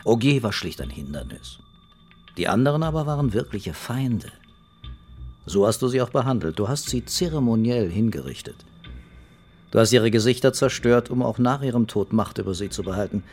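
An actor playing a calm, relentless police investigator in a radio crime drama, ironic and deliberate in his accusations. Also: mid-range voice, husky, soft background music. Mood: accusing.

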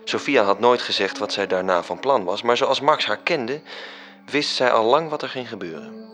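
An actor playing a coolly self-satisfied angel who recounts his schemes in a measured, precise radio drama narration. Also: medium pitch, animated, fairly bright tone, faint music behind, natural voice. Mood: measured, solemn.

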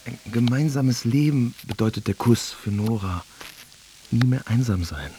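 A man's voice: medium pitch, expressive, unhurried, mellow, a little hiss or hum, lightly acted. A man acting in a radio drama as a storyteller, narrating in short, matter-of-fact phrases. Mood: detached.

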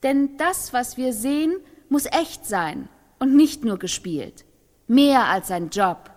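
An actress in a radio play, playing a woman who delivers a careful, measured testimony as if prompted, in a reverberant space. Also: on the high side, very animated, darkish tone, full character voice. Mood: measured, assured. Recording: long reverberant hall.

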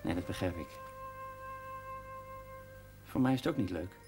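Curt mine engineer, lowish voice, clipped, wry, seventies TV drama dialogue. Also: male voice, expressive, unhurried, darkish tone, soft background music. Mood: tender, wistful. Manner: clipped, dry, matter-of-fact. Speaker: mining engineer. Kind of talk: naturalistic 1970s TV drama acting.

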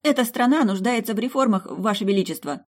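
A narrator voicing a bookish young man in a storybook, earnest, excitable and bashful. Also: mid-range voice, quick pace, voice pushed up, close to an everyday voice. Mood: nervous, earnest.

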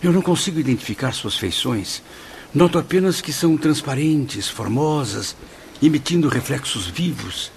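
A man's voice: a little high, expressive, normal speed, gravelly, slight background noise. An actor with a Brazilian accent playing an awed, inquisitive, courteous man new to the spirit world in a radio drama. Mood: awed, curious. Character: Camilo, awed, inquisitive deceased newcomer, reflective and courteous.

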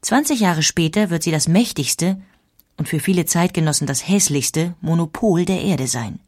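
A woman's voice: fairly low pitch, moderate pace, a little mellow, dry recording, clean studio sound. A woman narrating a documentary audiobook with dramatic emphasis.

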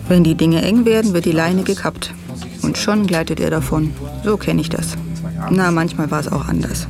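Guy doing scripted narration, voice a bit on the high side. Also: normal speed, vocal fry, over music.

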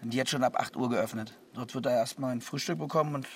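A man's voice: mid-range voice, fast talker, a little hiss or hum, close to an everyday voice. An actor playing an earnest, conscientious, slightly touchy middle-aged man in a naturalistic radio drama, methodical in manner.

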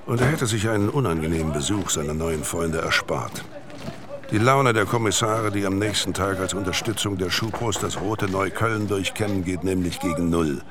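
A man's voice: sits low, moderate pace, a crowd in the background, natural voice. A man playing the knowing, ironic narrator of a crime radio drama, reading scripted narration. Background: music.

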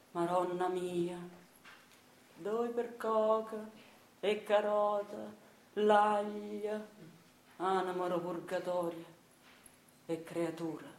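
Low, entranced Neapolitan washerwoman prophetess. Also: a little mellow. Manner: slow, incantatory lament. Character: fierce washerwoman prophetess in delirious, mournful trance. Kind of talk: theatrical radio monologue. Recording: roomy sound with faint noise.

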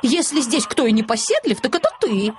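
Woman voicing a high, fussy clucking hen. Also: a little fast, a little hiss or hum. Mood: indignant. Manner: clucking, stuttering excitedly. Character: excited clucking hens, fussy adoring female chickens.

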